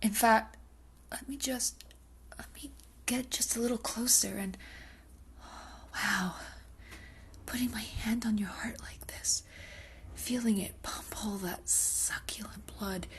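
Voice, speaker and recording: A woman's voice: fairly high pitch, fairly even intonation, slow pace, long pauses. A woman playing an obsessive, possessive female vampire in an intimate ASMR roleplay, often dropping into whispers, hungry and coolly domineering. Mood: breathless craving.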